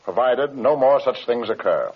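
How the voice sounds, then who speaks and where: A man playing an anxious, flustered airline executive in an old-time radio drama, with hesitant, halting businesslike speech and a husky voice. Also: medium pitch, lively intonation. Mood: troubled, earnest. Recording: muffled old radio recording.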